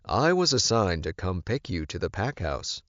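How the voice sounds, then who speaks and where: A man's voice: low-pitched, sing-song, normal speed, dry recording, highs cut off. Man playing a courteous, deferential chauffeur. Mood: cheerfully courteous. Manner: polite, deferential. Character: courteous smiling male chauffeur in a suit.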